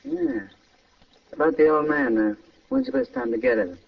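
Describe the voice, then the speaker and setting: A man's voice: very high voice, huge pitch swings, normal speed, gravelly, heavily acted. An actor playing a slangy, scheming small-time American gangster and ladies' man in an old-time radio crime drama, wheedling in tough street talk. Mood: eager, scheming. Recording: muffled old radio recording, distant mic.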